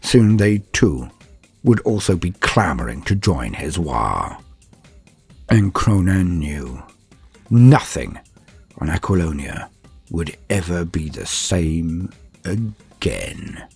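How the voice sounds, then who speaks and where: A man's voice: deep voice, big pitch swings, takes it slowly, vocal fry, pauses often, a little mellow, husky. A man narrating a comedic fantasy war story in a dramatic, mock-epic way with wry asides. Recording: dry close recording with faint music.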